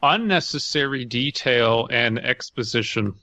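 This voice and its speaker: A man with a bright, edgy voice chats as a podcast co-host. His delivery is casual and wry with a complaining streak, and the sound is free of room echo. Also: mid-range voice, expressive, unhurried. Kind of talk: casual comedic banter.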